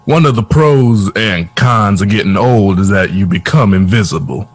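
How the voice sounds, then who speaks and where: A man's voice: lowish voice, animated, moderate pace, no echo. A man acting in an audio drama as a wry old former musician who is a kindly mentor. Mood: wry, encouraging. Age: older.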